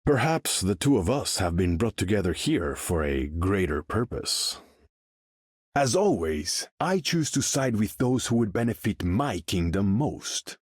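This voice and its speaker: A haughty sovereign of the seas from a video game. The voice is fairly low and rough-edged, swinging widely in pitch. The speech is formal and grandiose, as from a throne. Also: male voice, sing-song, a little slow, dry recording, clean studio sound. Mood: courting, regal. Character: proud, imperious undersea king of an ocean empire. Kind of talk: video game character voice lines.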